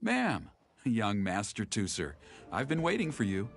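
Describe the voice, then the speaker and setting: A man's voice: lowish voice, wildly swooping pitch, unhurried, vocal fry, full character voice. A man voicing a formal, stern male servant who talks in a stiff, polite way, for a video game. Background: game music.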